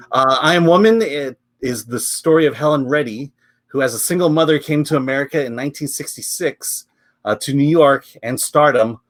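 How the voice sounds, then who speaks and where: A man hosts a relaxed film review chat at an ordinary mid-range pitch. He speaks loosely, with plenty of fillers and easy laughter between points. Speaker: film review show host.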